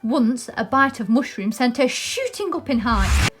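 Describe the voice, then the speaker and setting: A woman's voice: sits high, lively intonation, a little slow, husky. A British woman presenting and reading a children's story aloud.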